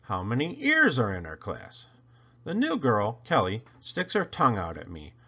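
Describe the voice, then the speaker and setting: A man reading a picture book aloud in an animated storytelling style, with theatrical emphasis on questions and lists. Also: medium pitch, moderate pace, husky, muffled recording. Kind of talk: animated children's read-aloud narration.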